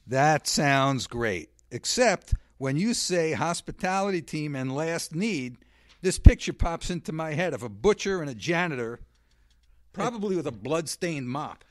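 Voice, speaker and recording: A wisecracking male host with a mid-range, lively voice that rises and falls a lot. He needles his guest with sarcastic questions, recorded dry and close. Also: on the high side, a little slow, no room sound. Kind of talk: scripted comedy interview.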